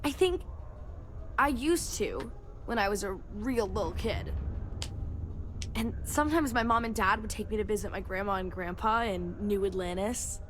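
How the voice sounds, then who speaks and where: A woman's voice: medium pitch, moderate pace, a bit bright, slight background noise, lightly acted. A woman voicing a chatty, childlike young girl from Mars as video game character dialogue. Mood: chatty, friendly. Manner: eager, childlike, rambling.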